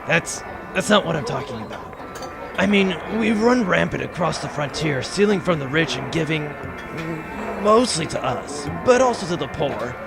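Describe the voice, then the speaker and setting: A man's voice: fairly high pitch, animated, normal speed, gravelly, music in the background. A man playing a nervous, earnest space outlaw sidekick who talks hesitantly in a comic audio adventure. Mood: worried, earnest.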